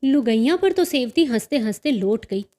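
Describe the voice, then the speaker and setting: A woman's voice: a little high, lively intonation, moderate pace, warm tone. A woman narrating a scripted story as an audiobook storyteller.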